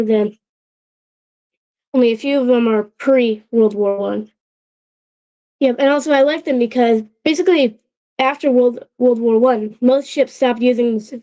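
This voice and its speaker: A woman hosting a casual livestream, talking in a halting way with frequent restarts and check-ins with her chat, her voice a little off from a sore throat that is still healing. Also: fairly high pitch, mellow, low-quality recording. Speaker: channel host.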